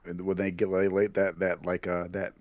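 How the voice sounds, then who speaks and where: Deep-voiced man in casual chat. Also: monotone, normal speed, darkish tone, no echo. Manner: informal, says "like" constantly, exclamatory.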